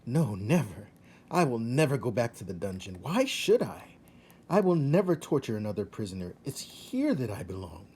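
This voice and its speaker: A man's voice: mid-range voice, sing-song, moderate pace, a little mellow, husky. A man voicing a dreamy, theatrical male rat in a storybook, speaking with rapturous, emphatic drama. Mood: giddy, defiant.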